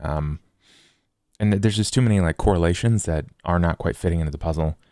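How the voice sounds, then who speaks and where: A man with a very deep, gravelly voice in a dry, close recording. He is a tabletop player reasoning aloud in careful, halting phrases. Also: dark tone, no room sound. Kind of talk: casual game discussion.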